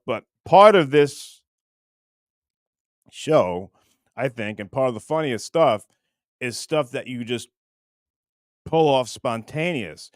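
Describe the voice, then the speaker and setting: A man's voice: mid-range voice, no room sound, clean studio sound. A man hosting a livestream in casual chat, reading out comments and rambling in a self-deprecating way. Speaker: livestream host.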